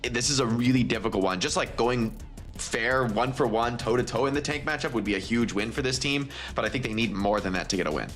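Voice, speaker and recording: A man's voice: medium pitch, brisk, fairly bright tone, faint music behind. A man analyzing esports matches at a desk, confident and opinionated.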